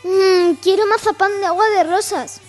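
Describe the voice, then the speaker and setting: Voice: very high-pitched, steady tone, normal speed, soft background music. A performer playing a headstrong, curious little princess, bossy and eager, in a radionovela-style radio drama. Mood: eager, defiant.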